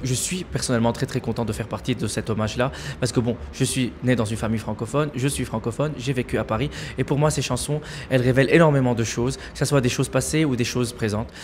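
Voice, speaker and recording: Fast-talking enthusiastic male singer. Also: mid-range voice, steady tone, a little hiss or hum. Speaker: singer interviewed. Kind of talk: interview answer.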